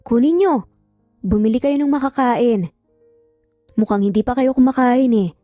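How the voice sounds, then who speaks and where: Woman reading as a calm, mellow Filipino male stranger. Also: a little high, moderate pace, no echo, studio quality, soft background music, natural voice. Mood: kindly. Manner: gentle, restrained, hesitant. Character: calm, apologetic adult male stranger with a secret.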